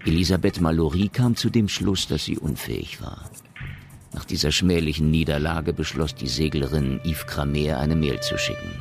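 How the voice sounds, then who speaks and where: A man narrating a science fiction radio play as a sober, measured storyteller with a gravelly voice. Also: extremely low pitch, expressive, full character voice. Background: music.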